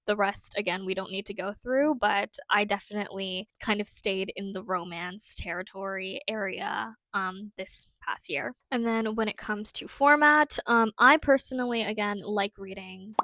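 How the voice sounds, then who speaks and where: A woman's voice: mid-range voice, moderate pace, few pauses, bright, forceful tone, dry recording, rough recording. A young woman with a cold, chatting casually through a recap of her year's reading as a book vlogger, with many fillers. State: fighting a cold.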